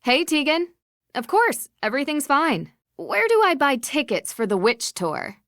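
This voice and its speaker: A woman's voice: sits high, big pitch swings, measured pace, a bit bright, no echo, studio quality, heavily acted. Polite young woman sleuth. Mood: friendly. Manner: courteous, inquiring. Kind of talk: video game voice acting.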